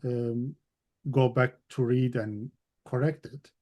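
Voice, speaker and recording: Male podcast host, English as a second language, encouraging, choppy phrasing, mid pitch. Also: steady tone, slow pace, a little mellow. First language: not English. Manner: encouraging, broken phrasing, frequent "you know". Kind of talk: casual interview chat.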